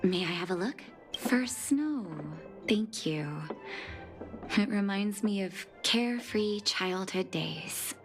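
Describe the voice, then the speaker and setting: An actress playing a devoted young woman doctor, gentle and heartfelt in manner. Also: mid-range voice, lively intonation, slow pace. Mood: tender. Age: young adult. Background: game music.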